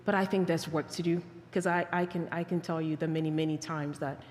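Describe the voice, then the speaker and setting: A woman's voice: deep voice, level tone, a little fast, darkish tone, a little hiss or hum. A woman from Ghana with a Ghanaian accent, giving an earnest, reflective talk on peacebuilding and answering questions, often restarting her phrases. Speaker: peacebuilding speaker. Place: church hall. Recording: reverberant church hall.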